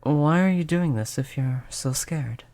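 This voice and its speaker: A performer voicing a polite vampire who looks like a child, gentle, reassuring and chatty in an audio roleplay. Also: medium pitch, a little slow, close to an everyday voice. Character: polite vampire turned young, stuck looking like a child. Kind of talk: audio roleplay spoken to the listener.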